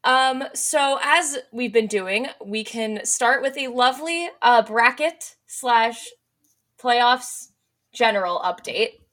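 A young woman with a bright, forceful voice that sits a little high. She hosts a casual sports podcast in a chatty, upbeat way and laughs at her own mistakes. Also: lively intonation, slow pace. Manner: chatty, self-mocking, upbeat. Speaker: podcast host.

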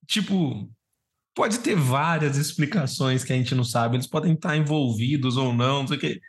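A man's voice: medium pitch, normal speed. A man with a Brazilian Portuguese accent chatting casually and informally as a podcast co-host, using plenty of slang. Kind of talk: casual chat.

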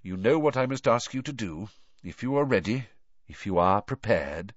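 An elderly wizard headmaster acted by a male audiobook reader speaks with grave, courteous authority. His measured, formal delivery rises and falls with lively intonation. The recording is dry and slightly dull. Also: fairly low pitch, no room sound. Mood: urgent, grave. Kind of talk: audiobook character voice.

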